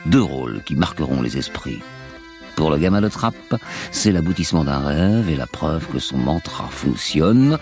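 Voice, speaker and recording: A man with an extremely low, deep voice tells a scripted radio documentary in a measured, storytelling tone. Soft music sits under his narration. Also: expressive.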